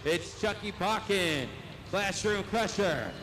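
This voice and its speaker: A man's voice: sits high, slow, noisy background. A male arena announcer losing his voice, calling a live monster truck event and pumping up the crowd. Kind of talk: live play-by-play commentary. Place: indoor arena.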